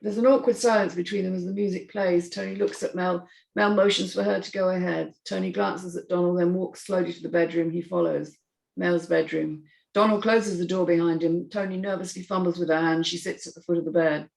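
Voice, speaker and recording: Creaky low-voiced woman narrator in echoey room. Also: fairly even intonation, fairly quick, darkish tone. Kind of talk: scripted screenplay narration, reading stage directions.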